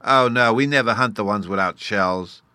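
A man's voice: medium pitch, moderate pace, sharp tone, dry recording, voice pushed up, natural voice. A man voicing a tiny, earnest and proud boy fairy from a storybook, scornful at times, in childish baby talk with mispronounced words. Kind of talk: storybook character reading.

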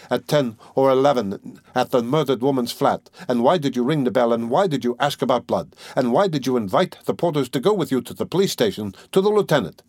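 A man's voice: medium pitch, no room sound, studio quality, voice pushed up, lightly acted. A man voicing a sly, falsely jovial middle-aged examining lawyer in an audiobook, teasing and ironical. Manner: teasing, chuckling, wheedling, ironical. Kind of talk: dramatic audiobook character reading.

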